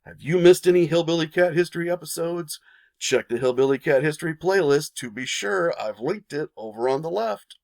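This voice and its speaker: A man's voice: on the high side, expressive, moderate pace, vocal fry, a little mellow, dry recording. A man hosting a history channel, reading a narrated lesson and turning to casual asides for viewers.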